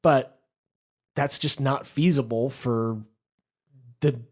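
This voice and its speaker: A man's voice: medium pitch, steady tone, slow pace, a little mellow. Man co-hosting a casual podcast. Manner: explains points at length, laughs easily. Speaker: podcast co-host.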